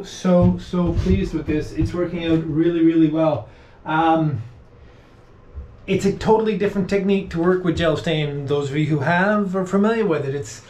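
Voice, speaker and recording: A middle-aged man casually talking through his hands-on work to the camera, with halting pauses as he goes. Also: on the high side, a little mellow. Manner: talks haltingly while working, with pauses. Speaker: vlog host, boat restorer. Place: inside a wooden boat in a shed and at its workbench. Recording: noisy workshop recording with clicks.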